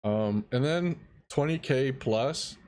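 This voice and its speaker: A young man streaming, soft-spoken and halting, explaining audio editing and answering chat. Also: medium pitch, animated. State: dry mouth. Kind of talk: casual live-stream tutorial.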